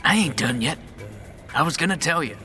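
A man's voice: fairly high pitch, animated, fairly quick, sharp tone. A man playing a wary, streetwise rat catcher in a fantasy audio drama, slangy, cagey and brusque. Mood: gruff, conspiratorial.

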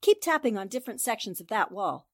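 A woman acting a sharp, confident young newspaperwoman in a 1940s-style radio drama, crisp and assured as she reasons things out. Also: medium pitch, sing-song, quick pace. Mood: assured, excited. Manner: crisp, assured, explaining deductions.

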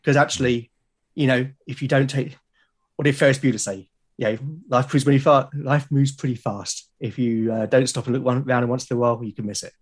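A man's voice: medium pitch, fairly even intonation, fairly quick, breathy edge. Earnest British man chatting. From Britain. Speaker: podcast guest, illustrator and podcaster. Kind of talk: casual interview chat.

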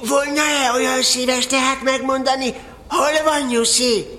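An actor playing a slow, naive, good-natured toy bear in an audio drama, musing earnestly and politely. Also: sits high, steady tone, pushed and bright. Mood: calling out politely. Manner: musing, earnest, polite, hums nonsense syllables. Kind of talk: audio drama character acting.

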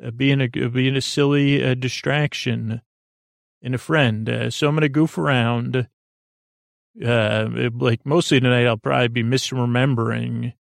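A man hosting a bedtime podcast in a gentle, reassuring, informal way, meandering and halting with many false starts, in a creaky, gravelly voice. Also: medium pitch, fairly even intonation, no echo, studio quality. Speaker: podcast host. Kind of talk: rambling, soothing bedtime podcast monologue.

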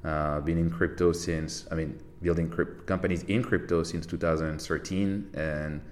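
A Frenchman in his thirties speaks in a very low, deep register. He talks loosely and easily, padding his thoughts with fillers and restarting sentences as they come to him. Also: moderate pace. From France, Paris. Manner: relaxed, rambling with many fillers and restarts, laughs easily. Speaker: podcast guest, tech entrepreneur. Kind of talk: casual interview chat.

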